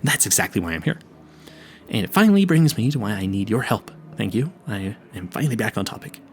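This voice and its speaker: Man acting out a flustered, low-voiced vampire hunter. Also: very animated, brisk, a little hiss or hum. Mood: rambling, apologetic. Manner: stammering, rambling, pleading, politely formal. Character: clueless, earnest, easily flustered male vampire hunter. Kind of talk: intimate audio roleplay addressed to the listener.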